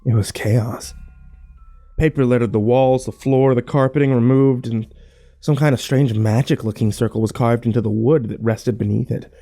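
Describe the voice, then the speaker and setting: A man playing a grim, fearful survivor, narrating his ordeal in a brooding, measured first-person horror style. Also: medium pitch, fairly even intonation, mellow, soft background music, lightly acted. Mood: grim, uneasy.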